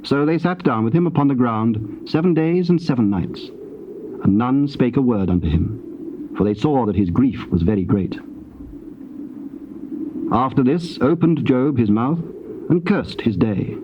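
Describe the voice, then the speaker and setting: A man with a British accent narrating scripture in a solemn, measured, scripted manner. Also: medium pitch, lively intonation. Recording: dull hissy cassette tape.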